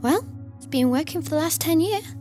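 A chatty, superstitious Geordie cleaning woman, voiced by a woman in a high, bright register. She hums and draws out her "mm-hmm"s in a cheerful, folksy way over faint background music. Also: lively intonation, normal speed.

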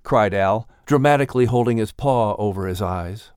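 A man's voice: fairly low pitch, moderate pace, darkish tone, clear, resonant tone, no echo, studio quality. A man announcing and narrating a children's story in an upbeat, scripted style.

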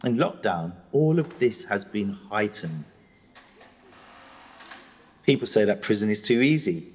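Audio drama inmate: middle-aged educated Englishman, low husky voice, articulate and indignant, long reverb. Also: big pitch swings, full character voice. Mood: indignant, weary. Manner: articulate, measured, confiding. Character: educated older male prisoner, reflective and indignant.

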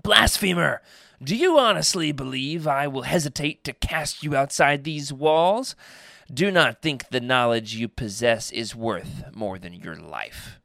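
A man's voice: mid-range voice, very animated, moderate pace, gravelly, a bit bright, no echo, clean studio sound. A man voicing a haughty, furious evil queen in an audiobook-style story, speaking in an imperious, disdainful way. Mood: furious, disgusted.

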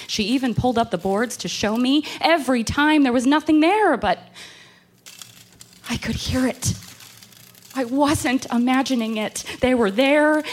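Horror radio drama: actress playing anxious, haunted woman, fairly high voice, intense and confessional delivery. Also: slight background noise, close to an everyday voice. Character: anxious, haunted woman recounting an insect terror. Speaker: actor. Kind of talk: horror radio play monologue and dialogue.

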